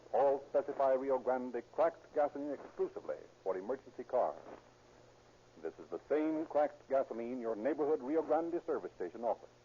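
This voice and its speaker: A man announcing in formal 1930s radio style, delivering a carefully phrased scripted sponsor pitch. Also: warm tone. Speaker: announcer and narrator. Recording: muffled, hissy 1930s radio recording.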